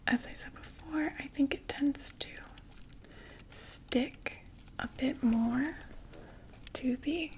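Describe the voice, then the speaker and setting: A woman's voice: sits high, slow pace, long pauses. A woman whispering as a chatty vampire saleswoman. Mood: soothing. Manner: soft whispering, chatty, gently reassuring. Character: chatty undead female vampire makeup saleswoman. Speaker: ASMR performer. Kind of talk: ASMR roleplay.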